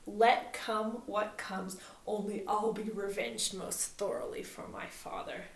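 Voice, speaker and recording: A woman's voice: medium pitch, steady tone, slow, vocal fry, reverberant. A woman voicing a furious young nobleman who declaims his defiance in a hot-tempered way. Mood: defiant.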